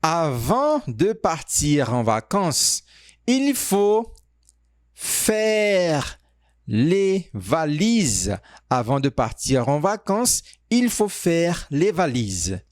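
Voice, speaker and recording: A man teaching French, reading quiz sentences and answer options aloud slowly and carefully. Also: on the high side, very animated, dry recording, studio quality. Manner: reads sentences slowly, stretched out, pauses on options. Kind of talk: slow, clearly spoken quiz reading.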